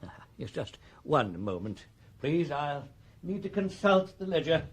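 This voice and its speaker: An actor playing a stuffy, cautious stockbroker who speaks formally and hesitantly in a radio drama. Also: male voice, a little high, big pitch swings, unhurried, breathy edge, heavily acted. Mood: guarded, flustered. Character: stuffy, cautious male City stockbroker, fussily discreet. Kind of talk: BBC radio drama acting.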